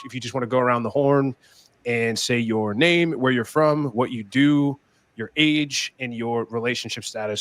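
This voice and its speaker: A man's voice: medium pitch, a bit bright, no room sound. Upbeat guy hosting a dating panel podcast, dry close sound. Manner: upbeat, keeps things moving. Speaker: podcast host and moderator. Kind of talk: casual panel show hosting.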